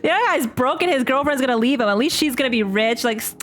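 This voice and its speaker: Slangy young woman streamer, higher-pitched. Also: lively intonation, fast, fairly bright tone, slight background noise. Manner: slangy, exclamatory, repeats herself. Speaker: streamer playing a story game. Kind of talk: casual reaction commentary.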